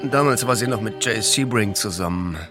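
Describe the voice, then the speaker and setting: Actor as deep-voiced grieving widowed film director. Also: male voice, normal speed, music in the background, close to an everyday voice. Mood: sombre, reflective. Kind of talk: radio drama monologue.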